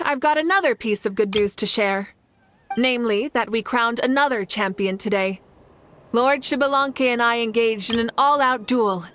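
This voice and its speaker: A woman's voice: sits high, fairly bright tone, slight background noise. An actress voicing a confident female ruler in a game, proud and commanding. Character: confident fiery female ruler, warm champion. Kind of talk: game cutscene voice acting.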